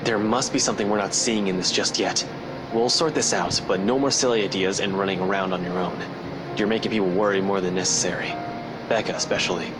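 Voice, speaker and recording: A man's voice: deep voice, steady tone, brisk, background noise, close to an everyday voice. An actor playing a stern, dry-witted detective in a visual novel game, firm and clipped, protective of his friends. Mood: stern, probing. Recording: music behind the voice.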